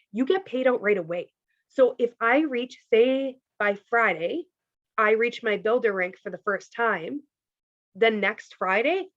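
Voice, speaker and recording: A fairly high-voiced woman from Canada talks her team through a pay plan on a video call. Her voice is wearing thin and a little hoarse, and she keeps dropping in "like" and "okay". The audio is dry and muffled. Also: a bit bright, no room sound. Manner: chatty, peppered with "like" and "okay". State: losing her voice. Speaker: team leader presenting a compensation plan. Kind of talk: casual presentation on a video call.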